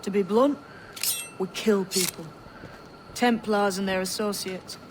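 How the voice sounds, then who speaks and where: A man's voice: high-pitched, fairly even intonation, unhurried. A man playing a blunt, stern but amiable assassin in a video game. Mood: frank, earnest. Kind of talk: video game cutscene acting. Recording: clearly noisy outdoor ambience.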